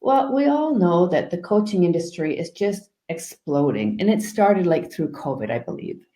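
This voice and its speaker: A woman coach answering interview questions in a calm, soft-spoken way, with frequent fillers and restarts. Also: fairly low pitch, moderate pace, reverberant. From Canada. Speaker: guest life coach.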